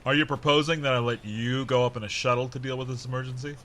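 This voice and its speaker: A man acting as a seasoned-sounding, no-nonsense commander in a science-fiction audio drama. He speaks at a middling pitch, dry and wry, giving orders with gruff authority. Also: little pitch movement, fast talker, a bit bright, slight background noise, natural voice. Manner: dry, commanding, plain-spoken. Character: gruff, decisive, wry male base commander.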